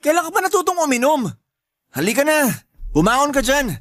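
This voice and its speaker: A man playing a grumpy, mocking older brother in a Tagalog radio drama, irritated and rough. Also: extremely high pitch, big pitch swings, fairly quick, fairly bright tone, dry recording, clean studio sound, heavily acted. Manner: gruff, irritated.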